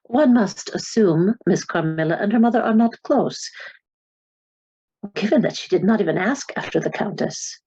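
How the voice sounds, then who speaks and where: An actress playing a shrewd, sceptical questioner in a radio play, with a husky voice and dry, formal phrasing. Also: female voice, medium pitch, animated, moderate pace, dark tone, dull audio. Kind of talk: dramatic radio play acting.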